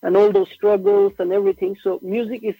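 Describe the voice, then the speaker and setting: High gravelly man on a tinny line. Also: brisk, dry recording. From Norway. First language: Norwegian. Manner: relaxed, slangy, says "bro" and "like" often. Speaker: musician guest. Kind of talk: casual interview chat. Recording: tinny phone-like remote line.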